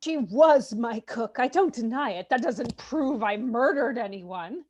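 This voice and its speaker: Noisy recording with clicks, woman as a flustered society lady, high voice, animated, indignant, comedy table read. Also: measured pace. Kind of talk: live table read of a film script. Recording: noticeable noise and clicks.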